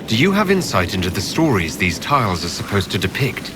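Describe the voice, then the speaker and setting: An actor playing a resolute leader in a fantasy video game, formal and direct. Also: male voice, fairly low pitch, big pitch swings, fairly quick, music in the background. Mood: inquisitive. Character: commanding, resolute male leader of a fantasy order. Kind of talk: video game dialogue acting.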